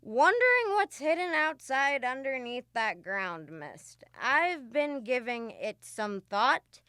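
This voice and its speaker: A woman plays a curious ship's scientist wondering aloud in an old-style radio drama. Her speech is slow and musing, with a bright, smooth tone, recorded dry. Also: sits high, big pitch swings, dry recording, heavily acted. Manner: musing, speculative.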